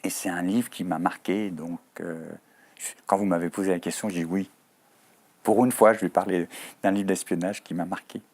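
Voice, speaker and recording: A man's voice: low-pitched, fairly even intonation, moderate pace, a little mellow. A Swiss French man, a writer as a guest on a TV studio interview, speaking thoughtfully with frequent hesitations and fillers. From Switzerland. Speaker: author guest.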